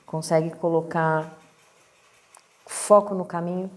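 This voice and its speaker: A Brazilian woman reading a weekly horoscope and tarot in a relaxed, hesitant way, clearing her throat as her voice catches. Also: lowish voice, takes it slowly. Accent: Brazilian Portuguese. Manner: relaxed, hesitant, lots of fillers and restarts. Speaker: astrology and tarot reader. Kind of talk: casual weekly horoscope talk.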